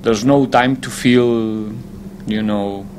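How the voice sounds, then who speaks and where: A man, a football head coach, answering press conference questions in a measured, deliberate way, often pausing to find his words. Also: fairly low pitch, steady tone, darkish tone, a little hiss or hum.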